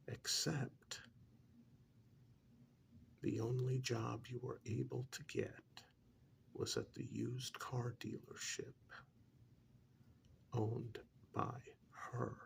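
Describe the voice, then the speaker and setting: A man playing a calm, blunt couples therapist in a soft-spoken ASMR role-play, half-whispering with breathy, deliberate, hesitant pauses. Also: mid-range voice, exaggerated voice. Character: calm, blunt male couples therapist counselling married clients. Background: soft clicking of pencil tapping.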